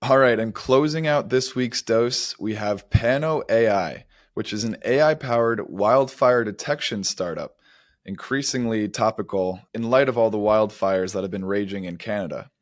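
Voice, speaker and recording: A man's voice: lowish voice, normal speed, no echo, studio quality. A man co-hosting a podcast, explaining startup news in an articulate, semi-scripted way. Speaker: podcast co-host.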